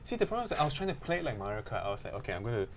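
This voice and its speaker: A man co-hosting a gaming podcast, chatting casually in a jokey, animated storytelling way. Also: mid-range voice, racing, crowd noise. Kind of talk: casual chat about video games.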